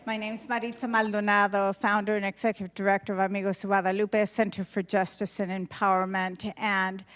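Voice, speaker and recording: A woman who directs a nonprofit, introducing a panel at a community meeting in a measured way with fillers between phrases. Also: medium pitch, flat delivery, a bit bright. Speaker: nonprofit executive director and moderator.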